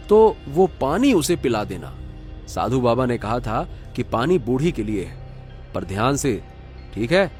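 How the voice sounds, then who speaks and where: A man's voice: medium pitch, a little mellow. A man acting an evasive, dismissive working father in a Hindi cartoon moral story.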